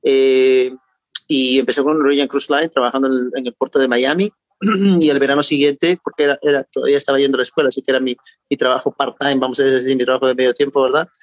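A middle-aged man from Peru with a Peruvian accent, a guest talking in a halting way, repeating words and adding tag questions. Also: mid-range voice, steady tone, no echo, muffled recording. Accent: Peruvian Spanish. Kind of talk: interview.

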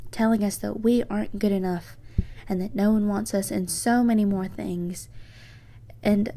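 Teen girl podcaster, low mellow gravelly voice, soft and hesitant. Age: teens. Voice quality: low voice for a woman. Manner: soft-spoken, reflective, slightly hesitant. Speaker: podcast host. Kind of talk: personal podcast monologue, reading own journal.

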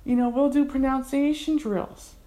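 A woman language tutor, giving a casual, unhurried explanation with frequent fillers. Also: high-pitched, steady tone, mellow. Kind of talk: casual instructional talk.

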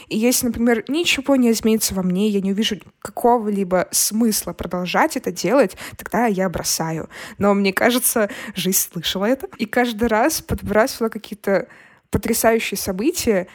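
A young woman answering casually as a podcast guest, expressive and informal, acting out her own inner dialogue. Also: mid-range voice, fairly quick. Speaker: podcast guest, singer and content maker. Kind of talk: casual conversational interview answers.

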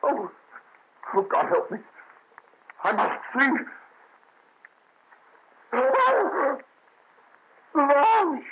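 Haunted doctor, husky and animated, tinny old radio. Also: male voice, very high voice, extremely slow, long pauses, noisy background, heavily acted. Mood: frantic. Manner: fretful, pleading. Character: anxious male physician, haunted and desperate. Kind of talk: 1930s radio drama.

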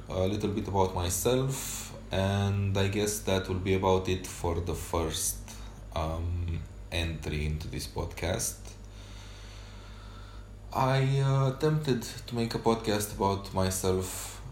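A man whose first language is Romanian, talking unscripted on a solo podcast journal, hesitant with many pauses and fillers. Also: deep voice, a little mellow, roomy sound, slight background noise. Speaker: podcast host.

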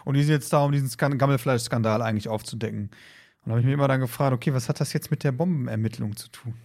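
A man's voice: medium pitch, very fast, a little mellow. A man speaks in a middle register. He sounds like a podcast co-host in casual discussion. He reasons critically and keeps checking that others agree. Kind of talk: casual discussion of an audio drama.

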